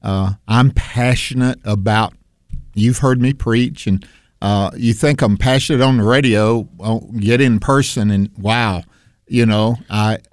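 Loud, passionate preacher on radio. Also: male voice, on the low side, measured pace, dry recording, studio quality. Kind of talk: conversational faith discussion.

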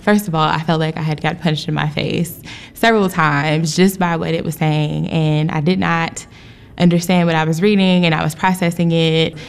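An American woman tells a casual, emphatic personal testimony in colloquial words. Her voice is very low for a woman and noticeably creaky. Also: steady tone, moderate pace. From United States.

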